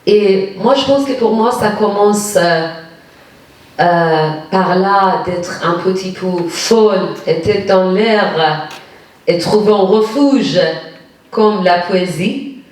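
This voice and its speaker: A woman from Iran tells her story with a Persian accent, pausing often as she hunts for the right words. Her voice sits in a mid range. The mic is well back, so she sounds distant in a very echoey lecture hall. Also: medium pitch, darkish tone. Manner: searching for words, many hesitations. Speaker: guest poet. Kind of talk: personal storytelling at a reading.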